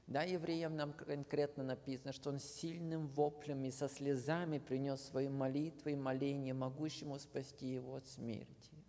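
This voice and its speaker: A man preaching a sermon, slow and earnest, often dropping to a hushed near-whisper at the ends of phrases. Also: medium pitch, little pitch movement. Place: church hall. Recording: long hall reverb.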